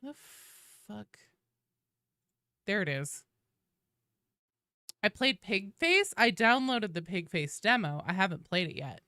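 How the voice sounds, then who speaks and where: A woman live streaming games, chatting casually with her audience in an informal, animated, profanity-laced way. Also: medium pitch, slow pace, long pauses, no room sound, clean studio sound. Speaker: video game live streamer.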